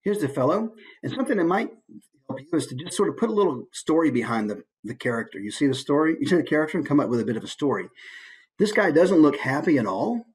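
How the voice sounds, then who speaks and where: A man's voice: on the high side, lively intonation, quick pace, rough recording. A man who works as a voice actor, giving a casual, conversational instructional presentation with easygoing asides.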